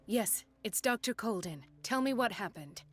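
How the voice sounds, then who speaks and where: An actress playing a composed, caring and determined hospital doctor in a video game, with a measured clinical delivery. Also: female voice, mid-range voice, animated, husky. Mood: questioning. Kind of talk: video game cutscene acting.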